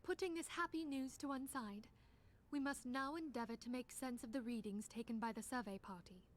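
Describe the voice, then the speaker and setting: Actress as female order leader, fairly high voice, composed, earnest, formal archaic diction, video game. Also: close to an everyday voice. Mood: grave, earnest. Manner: formal, measured, archaic phrasing. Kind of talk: scripted game voice acting.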